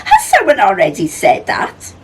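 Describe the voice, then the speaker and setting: A woman's voice: medium pitch, huge pitch swings, moderate pace, slight background noise. A woman voicing a scatty, cheerful female animal character in a children's storybook. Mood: delighted, oblivious.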